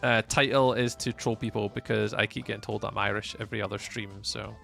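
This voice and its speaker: Scottish male streamer, gravelly and bright, chatty, rambling, lots of ums. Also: fairly low pitch, fairly even intonation, brisk, soft background music. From Scotland. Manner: rambling and chatty, many fillers and restarts. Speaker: live streamer. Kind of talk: casual chat with live chat while gaming.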